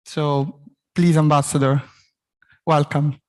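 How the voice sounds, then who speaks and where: A male host opens the event with a formal welcome for the guest. His voice sits a little high but stays flat and mellow, and he sounds set back from the microphone. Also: takes it slowly. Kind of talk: formal introduction.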